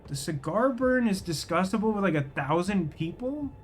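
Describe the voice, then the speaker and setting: A man's voice: high-pitched, expressive, moderate pace, soft background music. A man doing casual livestream game commentary, thinking aloud in a wry, rambling way as he chats with viewers.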